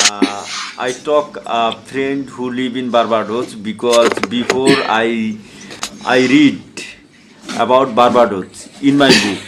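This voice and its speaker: A man with a Bangladeshi accent chatting casually in halting, simple learner's English on an online video call. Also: medium pitch. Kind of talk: casual English practice conversation. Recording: clear background noise, online call.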